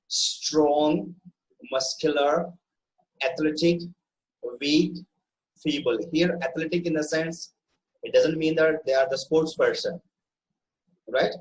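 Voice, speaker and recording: Male online English tutor, Indian accent, pitch a bit above average, instructive, frequent checks for understanding. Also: poor audio. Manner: instructive, often checks with "right?". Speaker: English teacher. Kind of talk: online vocabulary lesson.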